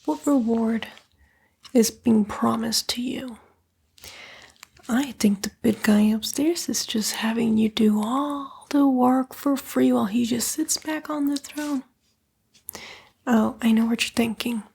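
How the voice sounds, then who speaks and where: A woman voicing a smug, seductive female demon, a fallen angel, in a breathy, coaxing ASMR roleplay whisper. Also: on the high side, a little mellow, full character voice. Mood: coaxing, scornful. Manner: slow, coaxing, taunting, drawn-out whisper.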